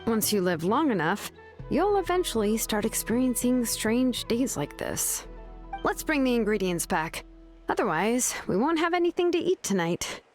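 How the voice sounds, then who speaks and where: A woman's voice: on the high side, moderate pace. A woman voicing a confident, easygoing young heroine with a big appetite, over game music. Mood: breezy. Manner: breezy and lively.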